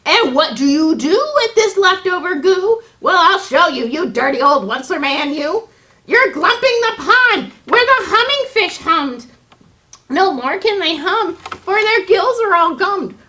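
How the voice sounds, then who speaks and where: Puppet-show forest creature: old, grumpy, scolding, very high voice, slow and emphatic rhyming delivery. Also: female voice, animated, pushed and bright, exaggerated voice. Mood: indignant, scolding. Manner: emphatic, stammering, indignant delivery in rhyme. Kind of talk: children's puppet show storytelling.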